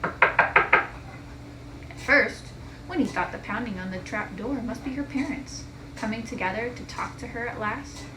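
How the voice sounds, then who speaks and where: A woman's voice: a little high, a little slow, long pauses, distant mic. A woman teacher reading a children's story aloud expressively, recorded in an echoey, noisy room. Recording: echoey room with clear background noise.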